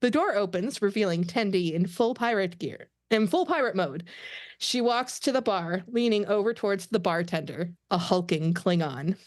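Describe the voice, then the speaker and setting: A woman narrates screen directions at a table read. Her voice is mid-pitched, recorded close and dry with little room sound. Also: moderate pace, dry recording. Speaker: narrator reading stage directions.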